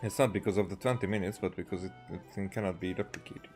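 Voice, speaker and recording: Halting male streamer with Hungarian accent. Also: sits low, steady tone, a little mellow, faint music behind. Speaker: game streamer. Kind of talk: casual gameplay commentary.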